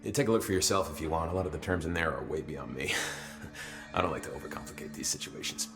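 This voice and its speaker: A man's voice: a bass voice, animated, fast, music in the background. A man acting as an easygoing, blunt security officer who swears casually and talks wryly, in a video game. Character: easygoing, foul-mouthed male security officer, blunt and wry.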